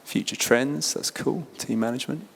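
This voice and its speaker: A man's voice: medium pitch, moderate pace, vocal fry, long reverb tail. A man presenting at a conference, talking loosely and chattily as he reads out audience poll answers. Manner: loose and chatty, with many fillers and restarts. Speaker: presenter at an event.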